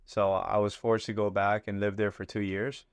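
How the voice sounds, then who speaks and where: A man in his twenties who grew up in Miami after coming from Ecuador, talking casually as an interview guest, relaxed and full of fillers. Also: lowish voice, normal speed, smooth, no room sound. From Ecuador, raised in Miami. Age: 20s. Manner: relaxed, loose, many fillers. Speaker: guest entrepreneur.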